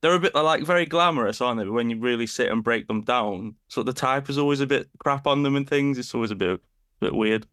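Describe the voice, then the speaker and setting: A man's voice: medium pitch, fast, a bit bright, dry recording, clean studio sound. A man hosting a podcast, asking chatty, casual questions. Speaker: podcast host.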